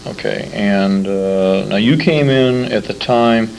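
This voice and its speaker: A man conducting a conversational interview, putting his questions carefully and a little haltingly. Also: lowish voice, creaky, a little mellow. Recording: noisy amateur convention interview tape.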